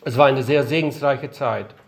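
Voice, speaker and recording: A man's voice: mid-range voice, normal speed, creaky. An older man giving an earnest, emphatic lecture-style sermon in a conference room, his voice a little strained from being unwell. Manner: earnest, emphatic, rhetorical questions. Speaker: missionary speaker.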